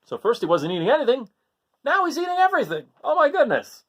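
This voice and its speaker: Male children's storyteller, hugely varied pitch, chatty and direct with young listeners, casual read-aloud style. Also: huge pitch swings, fast, a bit bright. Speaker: storyteller reading a picture book aloud.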